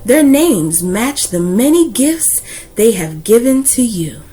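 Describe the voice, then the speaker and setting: A woman hosts a children's read-aloud at a very slow, deliberate pace. Her delivery swings widely in pitch, with emphatic stresses and dramatic pauses. Music plays behind her voice. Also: sing-song, mellow, husky. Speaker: host of children's book read-aloud series. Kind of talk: expressive read-aloud of a children's picture book.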